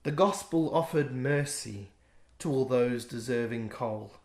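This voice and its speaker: A man reading aloud his own rhyming picture book in a gentle, expressive way. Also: medium pitch, a little slow. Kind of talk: rhyming storybook narration. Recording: echoey room.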